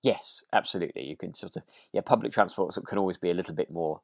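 Low, creaky man, hesitant in chat. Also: quick pace, dry recording, clean studio sound. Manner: hesitant, tentative, hedging. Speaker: podcast co-host. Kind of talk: relaxed chat reviewing a radio drama.

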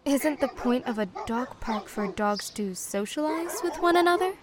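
An actress playing a gentle, guarded mermaid who passes as an ordinary young woman, hesitant and naive, in an audio drama. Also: high-pitched, lively intonation, moderate pace, music in the background. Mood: gently curious. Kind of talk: naturalistic audio drama acting.

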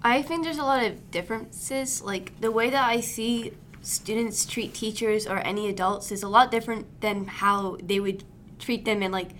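A woman's voice: a little high, moderate pace, fairly bright tone, slight background noise. A teenage girl, a student guest, speaking earnestly and casually. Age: teens.

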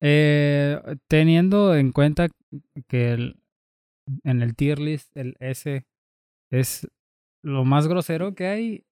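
A man's voice: a little high, darkish tone, clean tone, no echo, clean studio sound. A young man with a Mexican accent, co-hosting a casual podcast chat full of slang. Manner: slow, drawn-out, casual slang. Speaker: podcast co-host.

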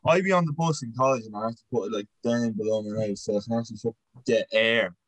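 Young man, low and dry. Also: fast, no room sound, poor audio. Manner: loose and sweary, laughs often. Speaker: podcast co-host. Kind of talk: casual chat.

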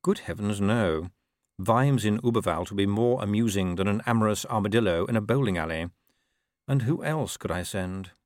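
A man voicing a wry, amused older ruler in an audiobook, speaking in a measured, absent-minded way. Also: on the low side, a little mellow, dry recording, clean studio sound, natural voice. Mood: dry amusement. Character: dry, unhurried, amused older male ruler. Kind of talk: audiobook character voice.

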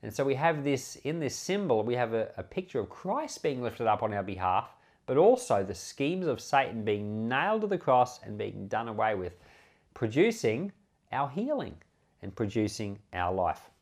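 An Australian man preaches informally, like a pastor talking a small point through in conversation. His pitch moves up and down in a very lively way around a medium register. He explains step by step and often puts questions to the listener. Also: normal speed. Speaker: pastor and Bible podcast host. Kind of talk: informal sermon, explaining scripture.

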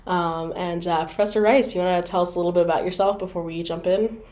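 A woman's voice: on the low side, steady tone, fast talker, a little mellow. A woman in her twenties, a student hosting a campus podcast, asking questions in an upbeat and encouraging way. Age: 20s. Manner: upbeat, encouraging, many affirming interjections. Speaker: podcast host. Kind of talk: interviewing.

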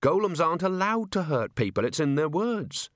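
Guy doing an earnest polite young watchman, explains stuff patiently, bit high, dry close audiobook sound. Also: big pitch swings, moderate pace, no echo. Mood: earnest, reasoning. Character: earnest, polite, literal-minded young male city watchman. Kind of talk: audiobook character voice.

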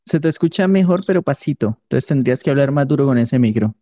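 A man's voice: mid-range voice, animated, quick pace, dark tone. A man with a Colombian accent chatting casually and jokily as a streamer being interviewed. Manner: jokey. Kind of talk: casual improvised chat.

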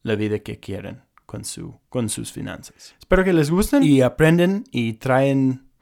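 A man's voice: mid-range voice, lively intonation, a little slow, creaky, darkish tone. A young American man with an English-speaker accent, hosting a casual personal podcast in earnest, careful non-native phrasing with small slips. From United States. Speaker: podcast host.

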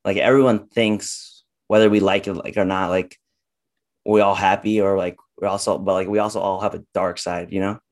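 A young man, nineteen and a guest on a podcast, with a voice on the lower side. He talks in a loose, halting way, full of "like" and "uh". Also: flat delivery. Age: young adult. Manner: halting, lots of "like" and "uh". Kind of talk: casual podcast chat.

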